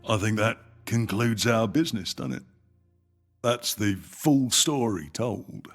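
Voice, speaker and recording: An actor playing a wary former tramp turned publican in an audio drama, husky-voiced, with a folksy, deferential manner. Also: male voice, on the low side, takes it slowly, soft background music. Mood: deferential, sly.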